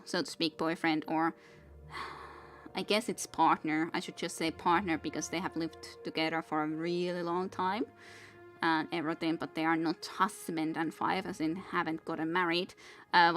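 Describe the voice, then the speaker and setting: A woman's voice: lowish voice, a bit bright. A woman in her thirties from Finland, a native Finnish speaker with a Finnish accent, chatting casually and rambling while streaming a game, with many restarts. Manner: rambling, reads chat aloud, many restarts. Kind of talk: casual gameplay stream chat.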